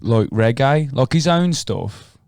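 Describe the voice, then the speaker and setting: A man's voice: medium pitch, animated, slow pace, gravelly, a little mellow, a little hiss or hum. A man with a British accent telling a story in a casual podcast chat, hesitating often. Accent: British English. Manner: hesitant, full of fillers and restarts. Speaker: podcast co-host.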